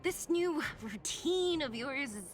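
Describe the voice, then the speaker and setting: A woman's voice: high voice, expressive, slow pace, a little hiss or hum. An actress voicing a blunt, sarcastic young female fighter in video game dialogue. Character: tough, exasperated young female underworld fighter.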